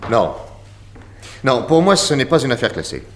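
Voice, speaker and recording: An actor playing a shrewd, doubting detective in a vintage French radio drama, pensive and questioning. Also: male voice, mid-range voice, sing-song, moderate pace, a bit bright, a little hiss or hum.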